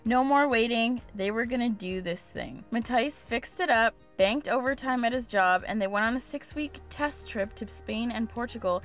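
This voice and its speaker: Female podcast host, scripted intro narration, slightly high voice over a music bed.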